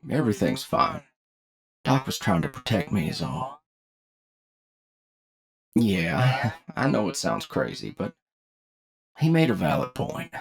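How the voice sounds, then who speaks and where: A man's voice: medium pitch, a bit bright. A man playing a wry, talkative bounty hunter team leader in an anime-style audio roleplay, with a casual, folksy manner. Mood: musing, reassuring. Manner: casual, folksy, hesitant asides. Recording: music behind the voice.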